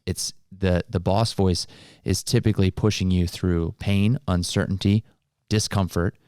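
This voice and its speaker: Deep male voice, podcast co-host, informal motivational talk, colloquial explaining, dry sound. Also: fairly even intonation, unhurried, dark tone, clean tone, no room sound.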